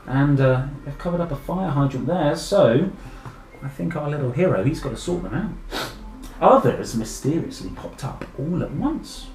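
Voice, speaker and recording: Animated British man reading a children's story. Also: fairly high pitch, moderate pace. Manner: enthusiastic, exclaiming and addressing young listeners directly. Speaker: storyteller reading a picture book aloud to children. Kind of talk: expressive read-aloud with chatty asides. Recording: echoey room with faint background noise.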